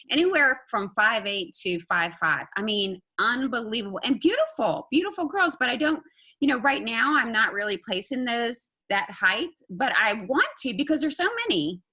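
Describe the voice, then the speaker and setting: A woman host with a husky, breathy edge to a bright voice that leaps around in pitch. She is eager and exclamatory, interrupting herself to rephrase her questions. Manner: enthusiastic, exclamatory, restarts often. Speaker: podcast host, modeling agent. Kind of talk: casual interview.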